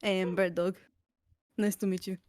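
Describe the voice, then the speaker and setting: A woman's voice: mid-range voice, flat delivery, normal speed, darkish tone, no room sound, studio quality. A woman guesting on a casual podcast, giggly and playful in how she talks.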